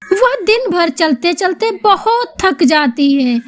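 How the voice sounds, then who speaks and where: A woman's voice: very high-pitched, big pitch swings, slow pace. A woman narrating a scripted story as its storyteller.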